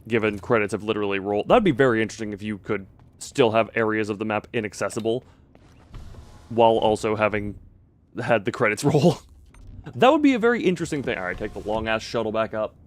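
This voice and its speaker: A man's voice: fairly low pitch, lively intonation, fast talker. A man giving casual live gameplay commentary as a streamer, musing and hesitating as he thinks aloud. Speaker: game streamer.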